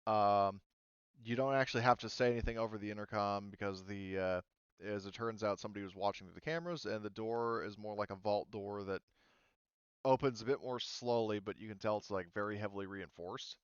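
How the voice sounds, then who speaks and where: Guy gm-ing a tabletop game, kinda low voice, hesitant, dry close sound but a bit dull. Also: steady tone, clean tone, no room sound. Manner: halting, hesitant, searching for words. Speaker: game master. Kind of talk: casual improvised narration of a tabletop roleplaying game.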